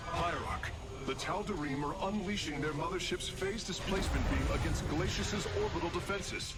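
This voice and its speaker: Man playing a higher-voiced formal alien adviser. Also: moderate pace, natural voice. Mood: earnest urgency. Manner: formal, urgent, precise. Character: earnest, composed alien technical adviser warning a commander. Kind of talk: science fiction game briefing.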